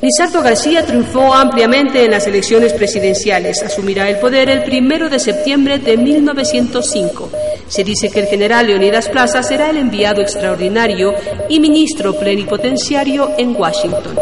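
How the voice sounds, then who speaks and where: An actress playing a formal news announcer delivering a period bulletin in a radio drama. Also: female voice, on the high side, lively intonation, normal speed, close to an everyday voice. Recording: echoey hall-like reverb.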